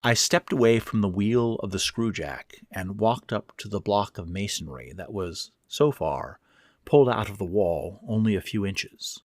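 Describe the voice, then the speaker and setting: A man's voice: on the low side, animated, measured pace, no echo, clean studio sound. A man reading his own first-person horror fiction as narrator, in a dramatic, suspenseful way. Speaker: author and narrator.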